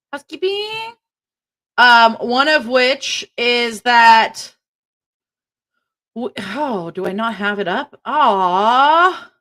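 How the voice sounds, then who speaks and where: High bright gal cohosting a podcast, chatty, trips over her words reading the ads. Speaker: podcast co-host. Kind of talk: casual chat and show announcements.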